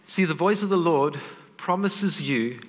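A man preaching in a reverberant church hall, his voice sitting a little high. He talks earnestly and conversationally and turns to the congregation with asides. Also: expressive, unhurried. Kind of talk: sermon.

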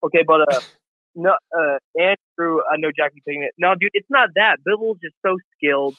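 A man calls in to a boxing show over a thin, tinny phone line. His voice is fairly high and dry. He rambles and boasts with excitement. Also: brisk, no room sound. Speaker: caller. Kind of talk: call-in chat.

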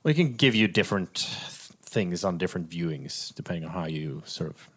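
A man with a low-pitched voice talks through films in a casual podcast discussion. He is analytical and conversational, often softening his points as he reasons them out. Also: animated, fairly quick, slightly rough. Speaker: film podcast co-host.

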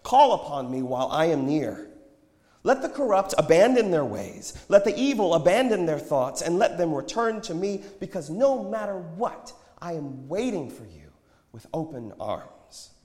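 A man's voice: fairly high pitch, big pitch swings, moderate pace, dark tone, pitched above the performer's own voice. A man playing an impassioned prophet preaching in a reverberant hall. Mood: proclaiming. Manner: declamatory, pleading, pointed. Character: impassioned male prophet, tender yet challenging street preacher. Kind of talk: dramatized scripture storytelling. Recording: echoey hall with long reverb.

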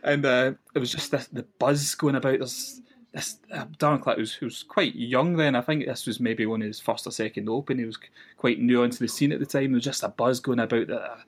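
A man's voice: mid-range voice, steady tone, a little fast. Scottish podcast guest in his thirties, easygoing storyteller. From west coast of Scotland. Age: 30s. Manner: chatty, reminiscing with frequent restarts and laughs. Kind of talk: casual chat.

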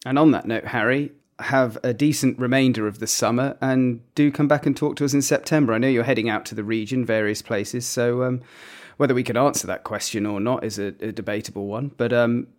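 A man's voice: mid-range voice, fairly even intonation, brisk. A man hosting an interview in a conversational style. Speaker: interview host.

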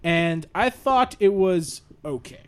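Higher-voiced man chatting on a podcast. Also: animated, takes it slowly, slight background noise. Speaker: podcast co-host. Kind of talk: casual group chat.

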